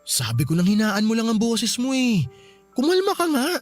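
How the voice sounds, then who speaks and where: High-voiced, conflicted Filipino husband. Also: male voice, sing-song, moderate pace, soft background music. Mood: defensive. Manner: hesitant, pleading, defensive. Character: conflicted young husband, apologetic, loyal to his brother. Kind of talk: radio drama acting.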